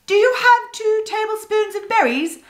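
A woman's voice: very high-pitched, lively intonation, voice pushed up. A woman voicing a busy, bossy female magpie who bakes. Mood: brisk. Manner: brisk, explaining, businesslike. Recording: roomy echo.

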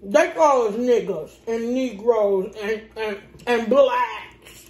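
A woman's voice: fairly high pitch, slow, darkish tone, slight background noise. A young woman with a Southern US accent, chatting sassily and teasingly while eating at a kitchen table. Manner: sassy, drawling, teasing. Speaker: mukbang co-host. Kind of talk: casual banter while eating. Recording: echoey kitchen.

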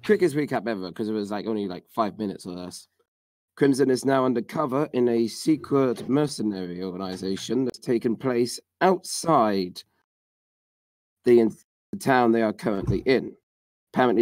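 A man co-hosting a casual podcast who recaps a story, easygoing and with a slightly rough voice. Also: lowish voice, a little mellow, dry recording. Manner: easygoing, agreeable, murmurs "mm".

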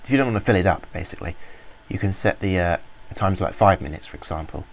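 A man casually talking through a software demo, rambling with many fillers and restarts. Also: low-pitched, expressive, fast talker, gravelly. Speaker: software reviewer. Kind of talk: informal screen-recorded walkthrough.

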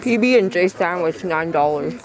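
A woman's voice: lowish voice, lively intonation, moderate pace. A young woman vlogging casually and thinking aloud at a busy mountain lodge, talking into a handheld camera. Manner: chatty, playful, thinking aloud. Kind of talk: casual chat. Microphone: handheld camera held close. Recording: crowd chatter in the background.

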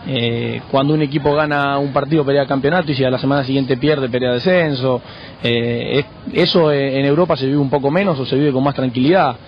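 A footballer from Argentina with an Argentine accent answering questions on a radio show, measured and halting with many restarts. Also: male voice, medium pitch, steady tone. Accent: Argentine Spanish. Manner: measured, frequent hesitations and restarts. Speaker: footballer guest. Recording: muffled radio broadcast with faint noise.

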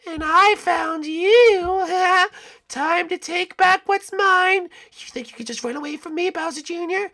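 High, drawn-out taunting villain. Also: male voice, sharp tone, exaggerated voice. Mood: gloating menace. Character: menacing villain back for revenge, taunting and cruel. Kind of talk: hobby character skit acting.

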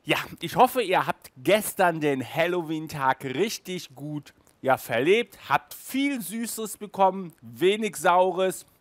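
A middle-aged man presents a casual review in an enthusiastic, chatty way. He takes his time as he talks, in a voice a little higher than most men's. The sound is dry, picked up by a headset mic in a small room. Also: animated, slow, few pauses, dry recording. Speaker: host of an audio drama review show. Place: small furnished room indoors, on a couch.

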